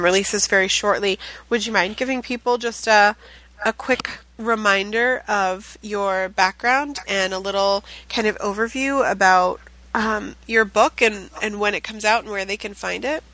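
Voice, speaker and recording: Sex: woman. Role: podcast co-host. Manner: chatty, rambling, full of ums. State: getting over the flu. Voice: bright and clear. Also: mid-range voice, poor audio. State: recovering from the flu, with a chest cough. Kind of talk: casual chat. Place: home office, at a standing desk.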